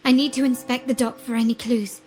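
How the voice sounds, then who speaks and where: An actress playing a composed, determined young heiress agent in a video game, speaking in a measured, formal and deliberate way. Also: female voice, on the high side, fairly even intonation, darkish tone, a little hiss or hum, natural voice. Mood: resolute.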